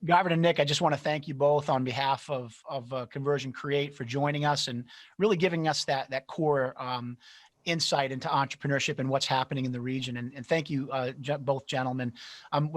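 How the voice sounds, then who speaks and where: A man's voice: mid-range voice, no room sound, studio quality. A man moderating an online panel discussion in a conversational, encouraging way, speaking over a video call.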